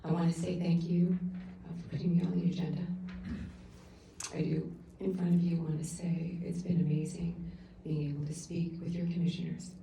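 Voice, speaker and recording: A middle-aged woman giving an earnest, halting public comment at a council meeting, heard through the room's sound system from afar. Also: on the low side, flat delivery, gravelly, a little hiss or hum. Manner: loud voice. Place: council chamber. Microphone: room sound system, picked up from afar. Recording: echoey council chamber from far off.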